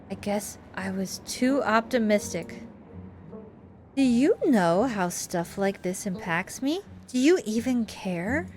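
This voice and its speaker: A woman's voice: fairly high pitch, slight background noise. A woman voicing a prickly, exasperated female cousin in a visual novel game, scolding and sarcastic. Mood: hurt, scolding.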